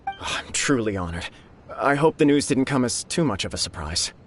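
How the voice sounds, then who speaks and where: An actor playing a dutiful young samurai clan head in a video game, formal, modest and earnest. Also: male voice, mid-range voice, expressive, moderate pace, a little hiss or hum, close to an everyday voice. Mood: humble, earnest. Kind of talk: scripted video game dialogue.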